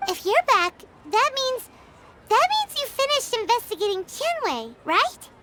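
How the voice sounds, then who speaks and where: A woman voicing a tiny, excitable, childlike fairy companion in a video game, squeaky and bubbly. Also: a little slow, heavy background noise, full character voice. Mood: excited, curious. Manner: refers to self in third person, bubbly. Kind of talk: video game character dialogue.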